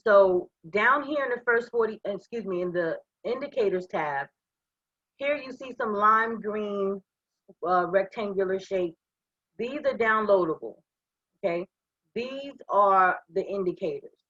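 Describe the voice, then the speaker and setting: A hoarse woman leading a live online class, walking viewers through software step by step in an upbeat way and checking in often. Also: mid-range voice, dry recording, muffled recording. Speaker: online trading trainer. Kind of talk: live screen-share software walkthrough.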